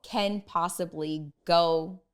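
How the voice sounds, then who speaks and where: A woman's voice: lowish voice, steady tone, slow pace, clean tone. A woman in her thirties whose first language is Russian, a dietitian guest on a podcast, telling her own story earnestly and thinking aloud with many fillers. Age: 30s. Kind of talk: podcast interview, personal story.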